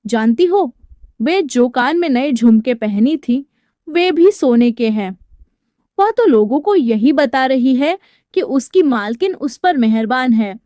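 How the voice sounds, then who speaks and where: Woman doing a gossipy, high-pitched housemaid neighbour. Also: expressive, takes it slowly, mellow, no echo, clean studio sound. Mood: gossiping. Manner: gossipy, insinuating. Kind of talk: dramatised Hindi moral storytelling.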